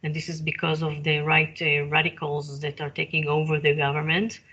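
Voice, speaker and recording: A woman's voice: sits low, completely flat delivery, brisk, pushed and bright, muffled recording. A woman in her fifties with an Israeli accent, a Hebrew speaker talking in English in a casual conversation, hesitant, with many fillers and restarts. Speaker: guest.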